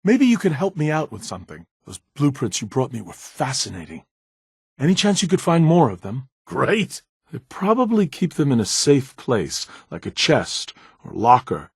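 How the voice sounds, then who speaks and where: Earnest scientist man, lively intonation. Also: medium pitch, breathy edge, no room sound, clean studio sound, exaggerated voice. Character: earnest, bookish male scientist, eager and slightly nervous. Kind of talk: video game dialogue.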